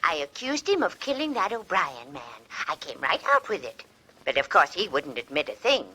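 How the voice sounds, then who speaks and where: An actress playing a meddlesome, excitable and prim elderly lady amateur sleuth in a 1940s radio drama. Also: deep voice, normal speed, husky, full character voice. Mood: pleased with herself. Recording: vintage 1940s radio recording.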